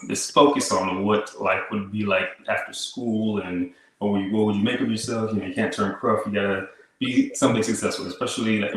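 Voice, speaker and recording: Deep-voiced man in a roomy echo. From Haiti. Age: 30s. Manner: relaxed storytelling, frequent "like" and "kinda" fillers. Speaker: guest. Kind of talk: casual interview chat. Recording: echoey room.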